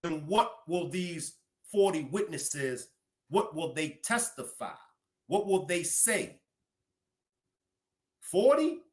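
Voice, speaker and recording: A man's voice: a little high, very animated, slow, pauses often. A man hosting a livestream about crime cases, repeating his questions to the chat for emphasis. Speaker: livestream host. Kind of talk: true crime livestream commentary.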